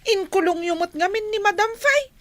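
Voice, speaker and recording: A woman's voice: very high-pitched, lively intonation, moderate pace, a bit bright. A woman playing a flustered, timid young housemaid in a comedy radio drama. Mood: flustered, pleading.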